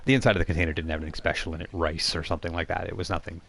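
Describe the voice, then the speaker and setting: A man's voice: low voice, fairly even intonation, very fast, creaky, fairly bright tone. A man narrating a tabletop role-playing game off the cuff as game master, casual and conversational.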